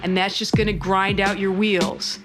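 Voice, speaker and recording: A woman's voice: mid-range voice, level tone, normal speed, voice pushed up, natural voice. An American woman voicing a slangy, bossy skateboarder dude who coaches a would-be pro in a scripted monologue. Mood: chummy advising. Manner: slangy, hectoring pep talk. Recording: loud guitar music under the voice. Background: guitar music.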